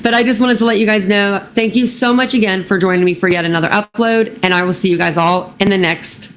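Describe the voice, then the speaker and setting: A woman true crime vlogger with a raspy, hoarse voice, reading a news article aloud and then talking casually to her viewers with many fillers. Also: medium pitch, fairly even intonation, brisk, slight background noise. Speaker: true crime channel host.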